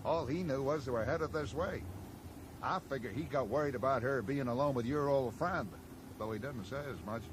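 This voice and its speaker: A man's voice: medium pitch, moderate pace, natural voice. A man playing a blunt, probing small-town American sheriff in a radio drama, speaking in a folksy, matter-of-fact way. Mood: probing, suspicious. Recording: noisy 1980s radio drama broadcast.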